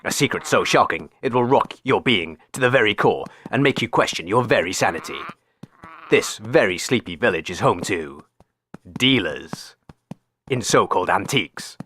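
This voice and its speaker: Bombastic man, bright and lively. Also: lowish voice, moderate pace. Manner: bombastic, theatrical. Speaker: radio comedy host. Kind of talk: comic radio sketch acting and hosting.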